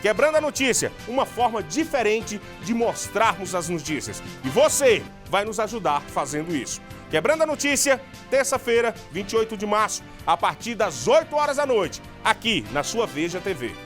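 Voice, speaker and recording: A man's voice: high-pitched, big pitch swings, brisk, fairly bright tone, faint music behind. A man reading an upbeat TV promo in a punchy announcer delivery. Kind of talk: energetic broadcast promo read.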